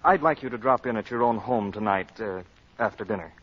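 An actor playing a mild, well-meaning law partner who speaks haltingly in a 1940s radio comedy. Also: male voice, lowish voice, lightly acted. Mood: hesitant, earnest. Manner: halting, hesitant. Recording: dull 1940s radio broadcast.

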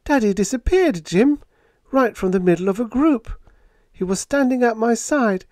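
A man's voice: expressive, moderate pace, darkish tone, dry recording, studio quality. A man doing a frightened, fretful young woman. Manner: high-pitched, anxious, pleading.

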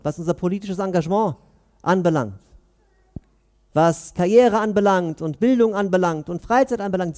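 Man, 30s, imam's Friday sermon; earnest, questioning delivery, high voice, headset mic. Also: darkish tone. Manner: earnest, questioning, pauses between phrases. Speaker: imam giving Friday sermon. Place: mosque prayer hall, indoors.

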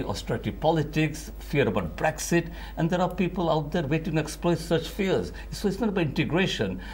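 Forceful older politician on a TV panel. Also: male voice, fairly high pitch, a little hiss or hum. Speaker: local politician. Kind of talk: TV panel discussion. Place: TV studio. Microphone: lapel.

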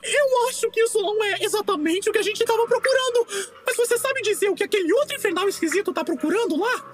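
A woman with a Brazilian accent playing an excitable, bossy little creature who leads a team in a cartoon dub. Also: very high voice, quick pace. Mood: whispered plotting. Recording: loud music behind the voice.

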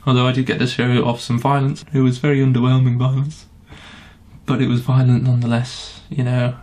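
A man's voice: mid-range voice, monotone, fairly quick, a little mellow. A man chatting casually to camera in a wry, self-deprecating way. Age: 20s. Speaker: amateur pantomime performer. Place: small room indoors. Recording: clearly noisy room sound.

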